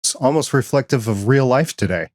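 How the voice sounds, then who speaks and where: A man's voice: medium pitch, expressive, fairly quick, darkish tone, no echo, clean studio sound. A man hosting a podcast interview, asking friendly, curious questions in a casual chat. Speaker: podcast host.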